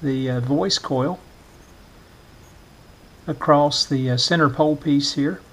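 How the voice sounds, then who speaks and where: A middle-aged or older man narrating a hands-on demonstration in a measured, explanatory way, often pausing mid-phrase. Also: on the high side, fairly bright tone. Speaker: vintage radio restorer giving a demonstration.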